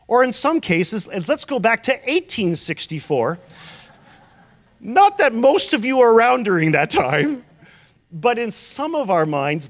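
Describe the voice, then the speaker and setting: A man's voice: high voice, a bit bright. A man from Canada preaching a sermon, telling stories and asking rhetorical questions. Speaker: pastor.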